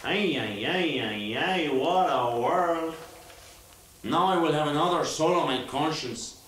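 An actor playing a self-pitying, whining dictator general with a put-on mock Spanish accent in a radio play. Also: male voice, a little high, slow, fairly bright tone, a little hiss or hum. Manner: whining, theatrical sighs. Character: whiny self-pitying dictator general, middle-aged man. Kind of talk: 1980s radio play acting. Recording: roomy, distant studio sound.

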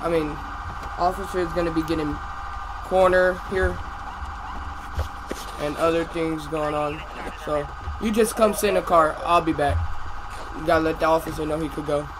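Male gamer, fire officer roleplay, informal chatter, raised pitch, noisy siren-and-engine backdrop. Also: steady tone, normal speed, long pauses. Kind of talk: in-character game roleplay chat.

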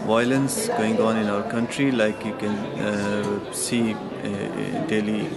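A man in his thirties from Afghanistan, speaking slow, measured English full of pauses and fillers. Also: fairly low pitch, level tone, creaky, over loud music. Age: 30s. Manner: measured, many drawn-out uh fillers. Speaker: interviewee. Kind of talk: personal testimony interview. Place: indoor meeting room.

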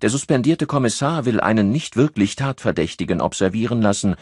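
A man's voice: fairly low pitch, lively intonation, brisk, a little mellow, breathy edge, no echo, clean studio sound, voice pushed up. A man voicing a flippant, sardonic male police colleague with a cheeky, ironic delivery in an audiobook. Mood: sarcastic.

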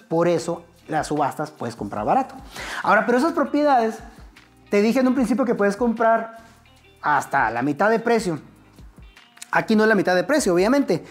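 A man's voice: high-pitched, darkish tone. A middle-aged man with a Mexican accent teaching real estate at a whiteboard, talking straight to the viewer in a conversational, step-by-step way, standing and gesturing in a studio. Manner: conversational, addresses viewer directly, explains step by step. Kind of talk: whiteboard explainer lesson. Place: indoor studio set.

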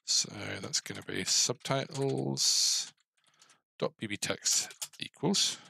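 A man's voice: medium pitch, slow pace, creaky, sharp tone, a little hiss or hum. A middle-aged man giving casual, mildly grumbling, self-deprecating commentary as he codes a game, on a headset mic. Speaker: game developer.

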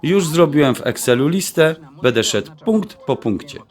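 Determined groom with very lively pitch, man acting. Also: normal speed, close to an everyday voice. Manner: teasing, emphatic. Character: determined, teasing Polish-American groom standing up to his mother. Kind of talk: audio drama acting, Polish mixed with English slang.

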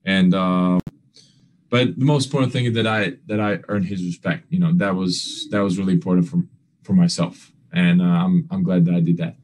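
Young guy on a video call, lowish voice, lots of uh and you know, earnest, choppy answers. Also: fairly even intonation, darkish tone. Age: young adult. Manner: halting, leans on fillers and restarts; earnest, non-standard grammar. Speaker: basketball player. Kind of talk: postgame press conference answers.

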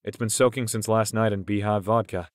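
A man's voice: fairly low pitch, level tone, a little fast, no echo, voice pushed up, close to an everyday voice. A man voicing a skeptical, mocking teenage young man, the level-headed friend in an audiobook, with a dry manner. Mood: matter-of-fact. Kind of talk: audiobook character acting.

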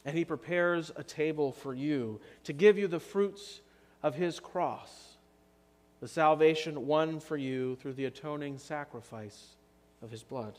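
A man's voice: on the high side, darkish tone. Earnest man preaching in a reverberant church. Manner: earnest preaching with slow, emphatic pauses. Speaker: pastor. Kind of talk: sermon. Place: church sanctuary, standing at the altar.

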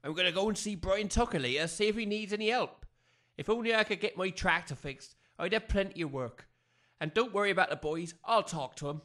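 A man acting as a working-class English villager in a comic audio drama. He is a defiant, grumbling husband with a piercing, slightly high voice. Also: a little fast, bright, forceful tone. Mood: reassuring. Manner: grumbling, colloquial.

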